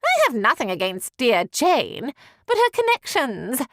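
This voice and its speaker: A woman voicing a spoiled, haughty young sister in a storybook reading, her voice shrill and screeching. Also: huge pitch swings, unhurried, fairly bright tone, no room sound, clean studio sound, full character voice. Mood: insistent.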